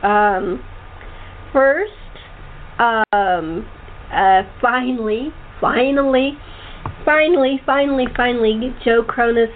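Woman host on a tinny phone line. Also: on the high side. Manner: halting, often breaking off and repeating words. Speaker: host of a talk show. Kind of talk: casual interview chat. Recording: muffled tinny phone line with faint noise.

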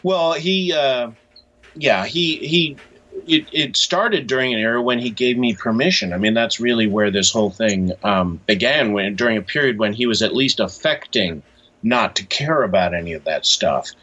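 Slightly muffled lo-fi recording, man, husky voice, hesitant, restarting phrases, reflective interview guest. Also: medium pitch, moderate pace, sharp tone.

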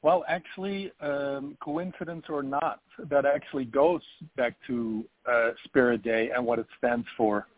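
A man from Belgium speaks as a radio guest, pausing often on ums as he feels his way. His voice sits in a middle range. The sound is thin and phone-like. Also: medium pitch, steady tone, dry recording. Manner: hesitant, many ums. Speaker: filmmaker guest. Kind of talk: radio interview. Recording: phone-like narrow band.

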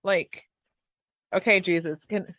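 A woman's voice: lowish voice, steady tone, a bit bright, dry recording. Earnest woman, dry close recording. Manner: earnest, halting, restarts phrases. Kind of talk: casual theological discussion.

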